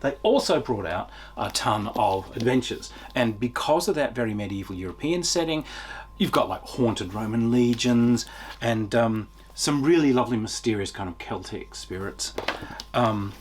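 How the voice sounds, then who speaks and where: A man's voice: medium pitch, expressive, normal speed, slight background noise. A middle-aged man giving a casual, unscripted video review in an enthusiastic, emphatic way, as a tabletop game reviewer.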